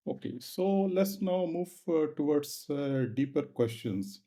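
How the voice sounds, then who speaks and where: A man's voice: a little high, animated, a little slow, mellow. A man hosting an interview, putting his questions in careful, hesitant phrases on a dull, muffled recording. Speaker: interviewer, host.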